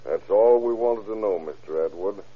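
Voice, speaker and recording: An actor playing a commanding, shrewd American police captain with a brusque interrogator's manner in an old-time radio crime drama. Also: male voice, fairly low pitch, normal speed. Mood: pressing. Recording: tinny, rough old radio recording.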